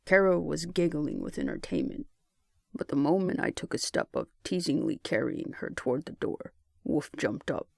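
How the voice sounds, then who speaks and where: A man's voice: a little high, gravelly, no room sound, studio quality. A man reading scripted first-person fiction as an audiobook narrator.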